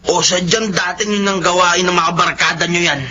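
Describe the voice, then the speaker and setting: A slightly noisy, dull radio drama recording. A man plays a young Filipino son with a high, cutting voice, full of resentment. He is defiant and accusing, with a sarcastic edge. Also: fairly even intonation, normal speed, close to an everyday voice. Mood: bitter, accusing. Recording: dull lo-fi broadcast, faint noise.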